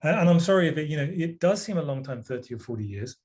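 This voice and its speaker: Measured, plain-spoken man guest. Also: on the high side, expressive, darkish tone. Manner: measured, plain-spoken, some hesitant restarts. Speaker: guest author. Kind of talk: interview answers.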